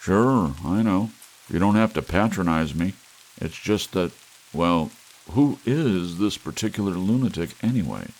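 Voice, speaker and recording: A man's voice: deep voice, animated, measured pace, a little mellow, low-quality recording. A man voicing an anxious, shaken lawyer while narrating an audiobook. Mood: uneasy. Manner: anxious, pleading. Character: nervous, shaken male lawyer, adult.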